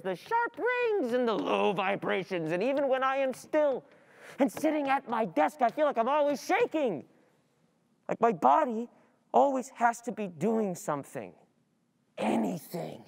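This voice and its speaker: A woman's voice: a little high, big pitch swings, a little slow, creaky, exaggerated voice. Woman acting an anxious, overwhelmed young student. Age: young adult. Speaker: stage actor. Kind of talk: theatrical stage monologue. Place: theatre stage, indoors.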